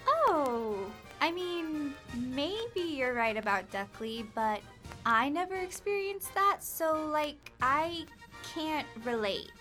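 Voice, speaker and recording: A woman's voice: sits high, very animated, slow pace, over loud music, heavily acted. A woman playing a chatty, naively optimistic bird in a comic audio drama, telling the story in a breezy, self-important way. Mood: hesitant.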